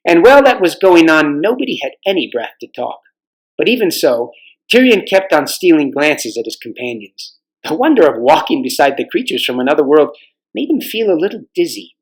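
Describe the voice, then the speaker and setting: A man reading aloud as a volunteer storyteller, with a measured storybook narration style for families. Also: a little high, poor audio.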